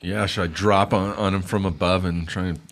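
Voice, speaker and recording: A man's voice: low voice, fairly even intonation, fairly quick. A man playing a tabletop game, easygoing and eager in casual chat. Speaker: player.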